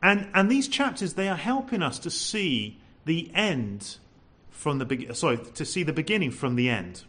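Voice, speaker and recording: Man, preacher, expressive and loud, rises and falls a lot. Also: on the high side, normal speed, husky. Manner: lively, animated preaching with rhetorical questions; says he has a loud voice. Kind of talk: sermon.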